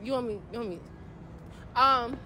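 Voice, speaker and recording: Bright, high, hesitant young woman. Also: normal speed, a little hiss or hum. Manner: hesitant, giggly. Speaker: interviewee.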